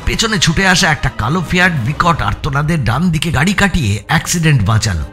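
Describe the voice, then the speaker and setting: A man's voice: fairly high pitch, expressive, quick pace, pushed and bright. A man reading a scripted thriller as narrator, with a suspenseful, theatrical delivery. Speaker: story narrator. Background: background music.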